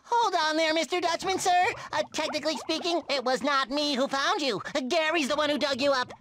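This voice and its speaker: A man's voice: very high voice, brisk, fairly bright tone, a little hiss or hum. A man voicing an eager, childlike, cheerful sea sponge for a cartoon game, earnest, exclamatory and over-polite. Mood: nervous, polite.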